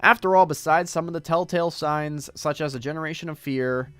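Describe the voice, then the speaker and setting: A hoarse man hosting a podcast stream, reading stories aloud and rambling to his chat. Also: on the high side, fairly quick, fairly bright tone, dry recording. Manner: rambling, talks to chat while browsing. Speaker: podcast host.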